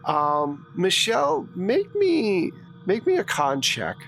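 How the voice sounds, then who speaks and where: A man's voice: high-pitched, huge pitch swings, takes it slowly, gravelly, a bit bright, faint music behind. A man running a tabletop roleplaying game, narrating in a conversational, improvised way. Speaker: game master.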